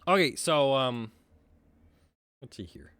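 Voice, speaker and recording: A man's voice: mid-range voice, animated, takes it slowly, a bit bright, clean tone, dry recording. A man narrating as game master, rambling with fillers and casual swearing.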